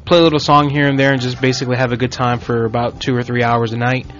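A man's voice: medium pitch, flat delivery, quick pace, a bit bright, soft background music. A man from Puerto Rico with a Puerto Rican accent, hosting a casual internet radio show, rambling and joking with frequent restarts. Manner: rambling, self-correcting, joking. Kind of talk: casual talk radio chat. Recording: dull lo-fi stream audio.

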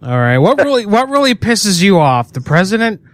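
A man's voice: sits high, big pitch swings, normal speed, no echo. A man making a prank call, talking in a crude, mocking fake-salesman patter. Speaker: prank caller.

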